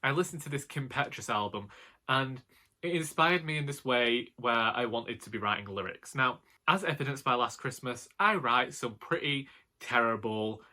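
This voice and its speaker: A man's voice: mid-range voice, moderate pace, pushed and bright. A young man, an author, talking casually and wryly about his writing plans and questioning himself as he goes. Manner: musing, self-questioning, wry. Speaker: author and book vlogger. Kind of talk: casual reflective monologue.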